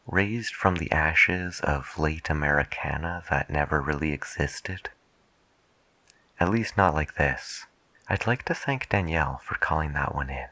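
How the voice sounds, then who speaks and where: Man playing a very deep, hushed late-night radio DJ. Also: no echo, full character voice. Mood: musing, hushed. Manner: musing, hushed, deliberate. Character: soft-spoken, musing late-night radio DJ. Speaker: radio host. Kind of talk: soft-spoken ASMR radio DJ roleplay.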